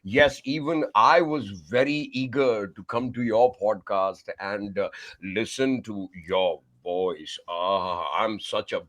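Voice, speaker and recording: A man with an Indian accent, Tamil by first language, telling his life story as a communication coach in an animated, effusive way with frequent laughter. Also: on the low side, slow. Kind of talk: remote podcast interview.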